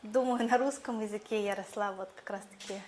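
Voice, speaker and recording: A woman's voice: medium pitch, fairly even intonation, fairly quick, a little mellow, slight background noise. A young woman whose first language is Buryat, a teacher answering jury questions thoughtfully with fillers and restarts. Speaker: teacher competition contestant.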